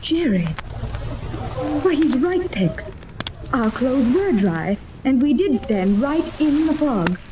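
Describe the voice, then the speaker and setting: Earnest high-voiced lady, crackly old radio. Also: takes it slowly, exaggerated voice. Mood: astonished. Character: wealthy, earnest mother searching for her lost daughter. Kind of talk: 1930s radio serial acting. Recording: noisy old radio recording, steady crackle.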